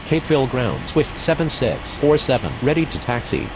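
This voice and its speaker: A man's voice: lively intonation, moderate pace, close to an everyday voice. A man playing a formal airline pilot and controller, reciting procedural aviation radio calls. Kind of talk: scripted aviation radio phraseology. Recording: very noisy audio with ventilation rumble.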